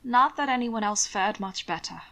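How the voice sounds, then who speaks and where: A woman voicing a gentle, reasonable young lady in a period audiobook, kindly and mildly reproving. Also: mid-range voice, lively intonation, moderate pace.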